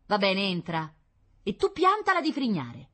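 A woman voicing a sharp-tongued, sceptical, bossy mother in a storybook, curt and scolding. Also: medium pitch, sing-song, fairly quick, slightly rough, pitched above the performer's own voice.

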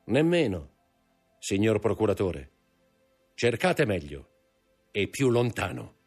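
A man voicing an implacable avenging count, slow and solemn, his husky low voice lifted a little from the narration. He sounds cold and unhurried, weighing every word. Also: big pitch swings, soft background music, heavily acted. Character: calm, implacable avenging count disguised as a priest.

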